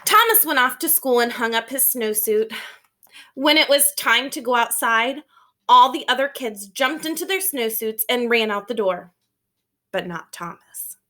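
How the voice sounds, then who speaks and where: A woman reading a children's storybook aloud as a teacher, expressive and emphatic, explaining things to young listeners. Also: a little high, unhurried.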